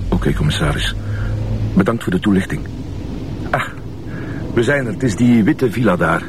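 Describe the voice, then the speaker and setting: An actor playing an earnest, insecure police deputy in a TV crime drama, polite and hesitant, with a Flemish accent. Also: male voice, on the low side, lively intonation, normal speed, distant mic, noisy background, natural voice. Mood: deferential. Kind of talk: naturalistic TV crime drama acting.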